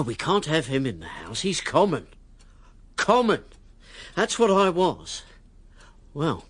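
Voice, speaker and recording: Bitter self-made politician, blunt, higher-pitched male voice, very lively swings, dry close sound, radio drama. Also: no room sound, exaggerated voice. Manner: blunt, resentful, animated. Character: John Gabriel, brash working-class politician, bitter about class.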